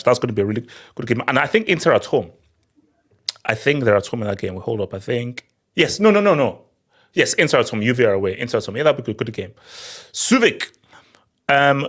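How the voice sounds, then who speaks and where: A man with a medium-pitched voice hosts a casual football talk show. He steers the panel conversationally and often doubles up on words and phrases to make his point. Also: fairly bright tone. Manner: repeats words and phrases emphatically. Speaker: host of a football discussion show. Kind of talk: casual chat moderating a panel.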